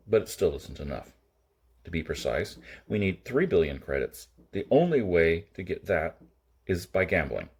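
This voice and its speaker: A man's voice: low voice, darkish tone, slightly rough, heavily acted. A man voicing a gray-haired, rock-hard older gunman from a science fiction audiobook, curt and commanding in a level, impatient way. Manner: level, grim, impatient delivery.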